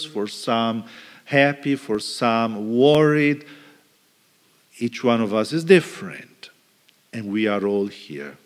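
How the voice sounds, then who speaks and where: A middle-aged male priest preaching a homily at a lectern in a large church, reflective, with pauses and restarts. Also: mid-range voice. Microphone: stand mic at lectern. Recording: reverberant church hall.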